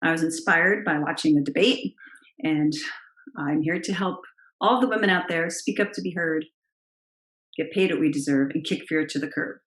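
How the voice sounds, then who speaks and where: Low-voiced woman coaching in an echoey room. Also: normal speed. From Italian heritage. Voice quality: naturally low voice. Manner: emphatic, expressive coaching delivery. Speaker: confidence coach. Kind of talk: direct-to-camera advice vlog. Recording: roomy, echoey room sound.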